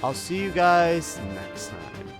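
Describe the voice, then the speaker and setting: A man's voice: a little high, big pitch swings, slow pace, gravelly. Casual male gamer over game music. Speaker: host of a game playthrough series. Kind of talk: casual let's play commentary. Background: video game music.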